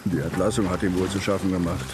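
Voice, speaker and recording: A man's voice: low-pitched, level tone, fast talker, natural voice. A man acting in a radio drama as a cynical frontier campaigner, blunt, slangy and sardonic. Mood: sardonic, dismissive. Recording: music under the dialogue. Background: music.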